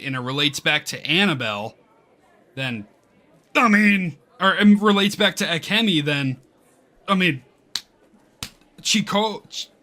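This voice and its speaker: A man hosting a gaming video, giving casual let's-play commentary in a rambling, halting way and often interrupting himself. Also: a little high, sharp tone. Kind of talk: casual let's-play commentary, reading game text aloud.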